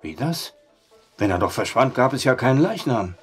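An actor playing a gruff, sceptical war-veteran barkeeper in an audio drama, blunt, down-to-earth and husky. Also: male voice, mid-range voice, very animated, normal speed, faint music behind.

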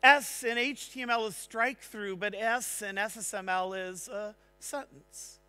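A man speaking on a large conference stage, giving an energetic technical talk and checking in with the audience. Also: sits high, unhurried, clean tone, soft background music. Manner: energetic, engaging, asks the audience "right?".

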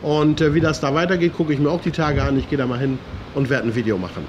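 A middle-aged man hosts a casual video update in a voice that sits a bit high for a man. He is chatty and speaks to viewers like familiar friends. He is recorded in the open air, with faint ambient noise around him. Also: fast, lo-fi sound. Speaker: vlog host. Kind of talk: casual chat to camera, weekly local news update. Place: open-air hotel roof terrace by the sea.

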